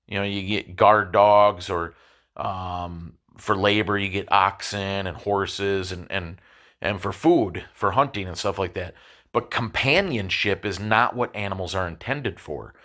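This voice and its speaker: Congested nasal man, halting speech. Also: low-pitched, bright, forceful tone. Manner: halting, repeats words while thinking. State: congested with a cold. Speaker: podcast host. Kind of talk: solo religious podcast monologue. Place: his apartment.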